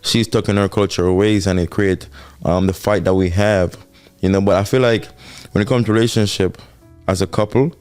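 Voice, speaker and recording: A Jamaican man with a fairly low voice talks through relationship lessons in a casual podcast chat. He sounds earnest and reflective, circling back over his points, while faint music plays underneath. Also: fairly even intonation, a little fast, darkish tone, clean tone, dry recording. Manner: earnest, reflective, repeats himself for emphasis. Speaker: podcast co-host. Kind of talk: casual couple podcast talk.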